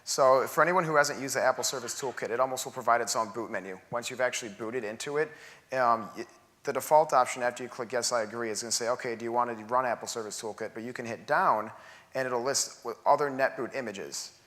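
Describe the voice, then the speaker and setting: A man's voice: mid-range voice, quick pace. Methodical man presenting on a stage. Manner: explanatory, steady, methodical. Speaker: conference presenter. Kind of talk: technical Q&A answers. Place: large theater stage.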